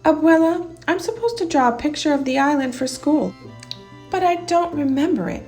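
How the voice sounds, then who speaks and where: A woman's voice: sits high, lively intonation, normal speed, darkish tone, soft background music, voice pushed up. A woman voicing a curious, earnest young schoolgirl in a children's storybook. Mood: puzzled, eager. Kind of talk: children's storybook character reading.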